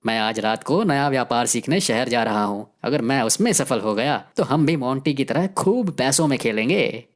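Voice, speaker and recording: A woman voicing a cocky, bossy village man in an animated story, speaking in an emphatic, colloquial, scolding way. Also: extremely low pitch, normal speed. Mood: eager. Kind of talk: animated Hindi moral story dialogue. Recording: music behind the voice.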